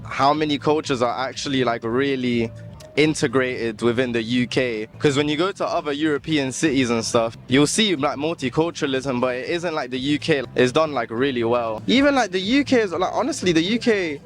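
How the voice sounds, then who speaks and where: A man's voice: mid-range voice, fairly quick. A man from Naples giving an informal, chatty answer in a street interview. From Naples, Italy. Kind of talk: casual chat with many "like" fillers. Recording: street interview, faint music behind.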